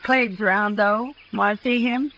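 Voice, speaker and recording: An actress playing a brisk, plain American rancher's wife with curt, folksy speech, in 1950s radio western style. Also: female voice, medium pitch, steady tone, fairly bright tone. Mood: brisk, wary. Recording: noisy old 1950s radio recording.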